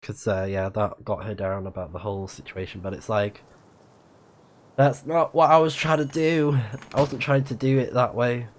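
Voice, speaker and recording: Chatty man streaming a game, mid-pitched. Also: steady tone, normal speed, a little hiss or hum. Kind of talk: casual let's play commentary, reading game text aloud.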